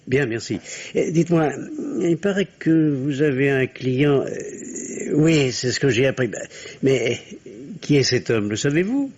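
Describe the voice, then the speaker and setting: A man's voice: medium pitch, animated, a little slow, a little mellow. An actor playing an anxious, evasive middle-aged family doctor and father in an old-style radio drama, hesitant and theatrical. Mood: curious, flustered. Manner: hesitant, theatrical, repeats phrases reassuringly. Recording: muffled old radio recording.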